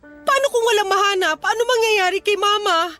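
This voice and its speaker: An actress playing a panicked, emotional young daughter who pleads anxiously in a radio drama. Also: female voice, very high-pitched, normal speed, sharp tone, faint music behind.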